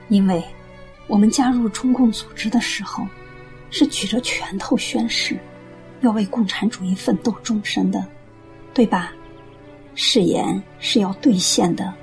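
A woman's voice: mid-range voice, close to an everyday voice. A woman playing an earnest believer who patiently persuades strangers in a radio drama, explaining things persuasively. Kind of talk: Mandarin radio drama acting. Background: background music.

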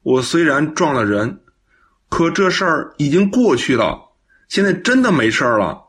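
A man playing a guilty, devoted young son in a radio drama, earnest, halting and pleading. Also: on the high side, sing-song, a bit bright, breathy edge, exaggerated voice. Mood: remorseful, pleading. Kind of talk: Chinese radio drama acting.

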